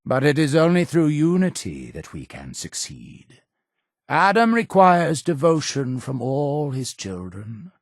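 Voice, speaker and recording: An actor playing a solemn, fervent cult high priest in a video game, speaking in a grandiose, formal, preaching manner. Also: male voice, sing-song, a little slow, no echo, studio quality. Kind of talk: scripted video game character dialogue.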